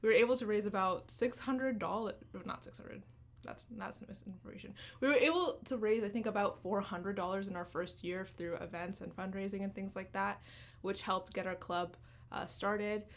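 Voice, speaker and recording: A woman's voice: mid-range voice, fairly even intonation. A woman in her twenties, raised in Canada after an early childhood in Uganda, talking earnestly as a guest in a radio interview, with many "like"s and "um"s. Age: 20s. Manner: earnest, talks quickly with fillers like "like" and "um". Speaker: student club founder, guest. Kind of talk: radio interview, casual chat.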